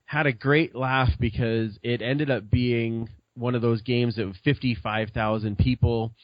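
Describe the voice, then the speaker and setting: A middle-aged man chatting as a podcast guest, halting with many fillers and restarts. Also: medium pitch, steady tone, no room sound. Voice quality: loud voice. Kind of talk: casual chat. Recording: dull lo-fi sound.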